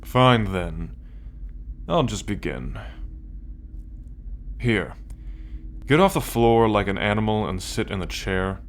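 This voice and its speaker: A man's voice: on the low side, expressive. A man playing an arrogant, possessive alien military conqueror in an ASMR roleplay, commanding and condescending as he addresses the listener. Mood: imperious. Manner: commanding, condescending, slow deliberate delivery.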